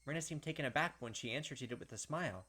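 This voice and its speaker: A man hosting a let's-play, switching between reading story text aloud and animated, puzzled commentary on it. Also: medium pitch, fast talker, slightly rough. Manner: animated, puzzled reactions and speculation, mimicking characters. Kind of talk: gameplay commentary mixed with reading visual novel text aloud. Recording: music playing behind the voice. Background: game background music.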